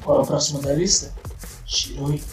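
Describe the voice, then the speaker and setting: Cold, low-voiced female assassin, acted by a woman. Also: moderate pace, reverberant, soft background music, natural voice. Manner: deadpan, terse. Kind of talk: audio drama acting.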